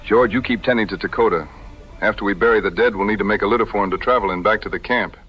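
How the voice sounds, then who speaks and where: Man acting a bright-edged, low-voiced frontier hunter. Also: fast, breathy edge, soft background music, poor audio. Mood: humble, solemn. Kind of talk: audio adventure drama acting.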